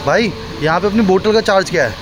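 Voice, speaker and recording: A man's voice: sits high, lively intonation, fast, noisy background. A man, talking casually and directly to viewers as he hosts a vlog on a phone held at arm's length. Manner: chatty, informal, addressing viewers directly. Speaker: vlogger, host. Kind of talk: casual vlog narration mixing Hindi and English. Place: rooftop lounge, open-air and semi-covered seating at night.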